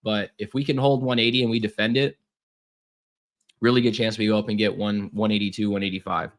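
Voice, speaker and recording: A man's voice: fairly low pitch, little pitch movement, fairly quick. A young man losing his voice, running a casual live trading stream with lots of fillers and repeated words.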